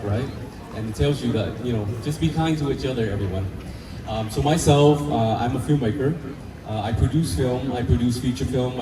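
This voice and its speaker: A man, talking earnestly and haltingly with restarts as a filmmaker interviewed at an outdoor festival. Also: mid-range voice. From Vietnam. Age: 50s. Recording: echoey, distant mic with crowd noise.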